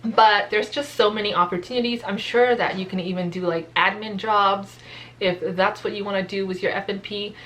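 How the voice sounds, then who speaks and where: A woman's voice: medium pitch, a bit bright. A young adult woman, a nurse practitioner student whose first language is Spanish, talking earnestly and casually to camera with lots of fillers. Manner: chatty, earnest, many fillers.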